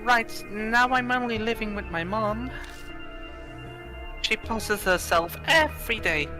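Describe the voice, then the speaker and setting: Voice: mid-range voice, slow pace, music in the background, close to an everyday voice. A streamer voicing a polite, shy and mature young schoolgirl in a visual novel, with a hesitant manner.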